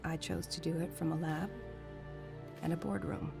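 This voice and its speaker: An actress playing a grave, regretful middle-aged scientist and executive, narrating a game story. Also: female voice, low voice, fairly even intonation, normal speed, gravelly. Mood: somber regret. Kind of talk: scripted dramatic game narration. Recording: loud music behind.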